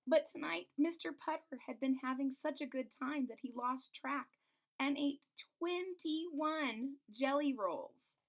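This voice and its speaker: A woman's voice: high-pitched, a little slow, low-quality recording. A woman reads a children's picture book aloud expressively, adding simple explanations as a teacher would. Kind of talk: children's storybook read-aloud with asides.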